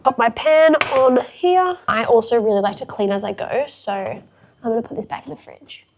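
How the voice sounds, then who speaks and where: A woman's voice: on the high side, normal speed, dull audio, noisy background. A young woman with a congested, sick-sounding voice, chatting frankly and upbeat through a cooking tutorial in her home kitchen. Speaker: fitness vlogger.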